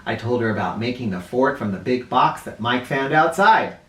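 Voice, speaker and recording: A man hosting a children's read-aloud, narrating a storybook in an animated style. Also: medium pitch, normal speed. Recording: echoey room, distant mic.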